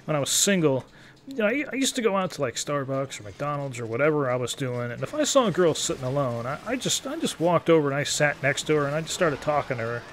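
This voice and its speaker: Higher-pitched rambling man over background noise. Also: sing-song, fast, fairly bright tone. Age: 30s. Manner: rambling, self-deprecating, digressive. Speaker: gaming video commentator. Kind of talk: casual rambling live gameplay commentary.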